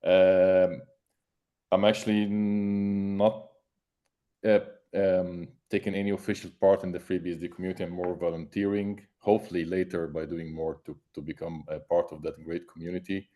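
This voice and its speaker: A man from Egypt, introducing himself casually on a video call in a halting way, searching for words. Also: deep voice, level tone, highs cut off. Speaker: meeting participant, software developer.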